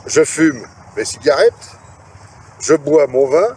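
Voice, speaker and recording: A man's voice: on the high side, takes it slowly, warm tone, a little hiss or hum. A man speaking bluntly and boastfully in an archive interview clip, outdoors.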